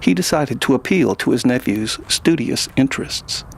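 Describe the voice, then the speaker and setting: A man reading scripted fiction as the narrator, in a gravelly, husky voice. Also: mid-range voice, lively intonation, moderate pace, a little hiss or hum.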